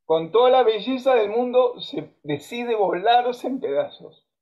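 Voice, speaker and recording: A man in his fifties from Argentina with an Argentine Spanish accent, a musician hosting a casual video-call conversation with lively storytelling, frequent fillers and restarts. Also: very high voice, unhurried. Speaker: musician and host. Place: home music room. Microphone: webcam on a video call. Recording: dull lo-fi video-call audio.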